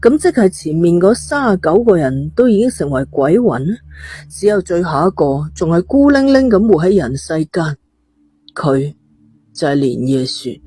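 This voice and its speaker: A woman's voice: fairly low pitch, sing-song, normal speed, mellow, slightly rough. A woman, narrating a scripted thriller story. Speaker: audiobook narrator.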